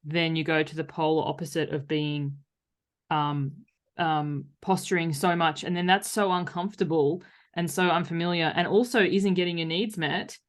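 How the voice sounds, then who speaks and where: A woman's voice: deep voice, fairly even intonation, normal speed. A woman hosting a podcast, chatting casually and informally. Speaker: podcast host. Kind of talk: casual chat.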